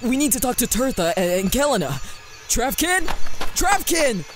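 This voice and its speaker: A woman's voice: on the high side, sing-song, moderate pace, slight background noise. A woman acting a tired, worried elf father in an audio drama. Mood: alarmed.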